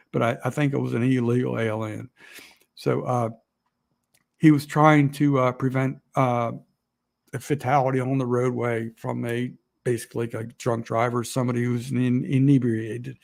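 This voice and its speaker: A man talking to camera in an emotional, rambling vlog, halting often with fillers and restarts. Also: medium pitch, vocal fry, a little mellow. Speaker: outdoor vlogger. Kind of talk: emotional casual monologue.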